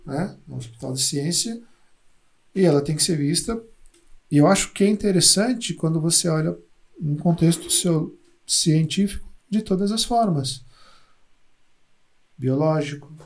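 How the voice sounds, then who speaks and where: A man with a slightly raised pitch and a gravelly, creaky edge. He speaks as a hospital psychologist in a relaxed conversational interview, often repeating words as he gathers his thoughts. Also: unhurried, long pauses. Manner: thoughtful, repeats words while searching for them. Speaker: hospital psychologist, podcast guest.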